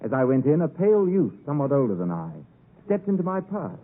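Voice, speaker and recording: A wistful gentleman narrator in a 1950s radio play. His voice is slightly high and expressive, reflective and literary. The recording is muffled and narrow, like an old broadcast. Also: fast talker, slight background noise.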